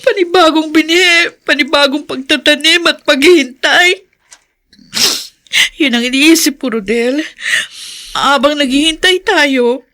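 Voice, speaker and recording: Radio drama role: despairing rural mother, high-pitched, anxious, fretting. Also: sharp tone. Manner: plaintive, fretful.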